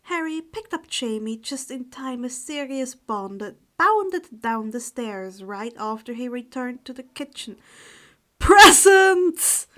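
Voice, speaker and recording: A woman reading a story aloud as an audiobook narrator, in an expressive scripted style. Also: fairly high pitch, unhurried, few pauses. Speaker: audiobook reader of fan fiction.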